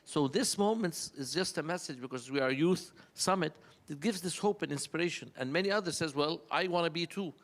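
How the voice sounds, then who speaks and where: A man's voice: fairly high pitch, fairly quick. A man with an Egyptian accent, a native Arabic speaker, giving earnest impromptu panel remarks in short rhetorical phrases. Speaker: summit panel speaker, senior official.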